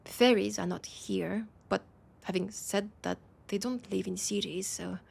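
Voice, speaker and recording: Woman doing a world-weary vampire lady, french accent, husky and creaky, slow intimate roleplay murmur. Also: mid-range voice, full character voice. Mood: musing, wry. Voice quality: breathy, husky. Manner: slow, hesitant, intimate confiding with pauses. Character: weary, wry female vampire, confiding and world-weary. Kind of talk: intimate audio roleplay acting.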